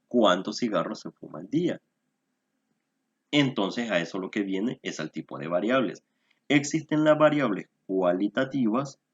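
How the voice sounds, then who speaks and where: A man's voice: fairly low pitch, husky, lo-fi sound. A man tutoring a statistics class online, explaining patiently and checking in with "¿verdad?". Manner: explanatory, often tags "¿verdad?".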